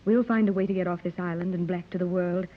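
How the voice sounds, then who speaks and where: A woman's voice: on the low side, fairly even intonation, fast, slight background noise, lightly acted. A woman playing a tender, anxious wealthy American mother, earnest and melodramatic in 1930s radio serial style. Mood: resolute. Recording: muffled old 1930s radio recording.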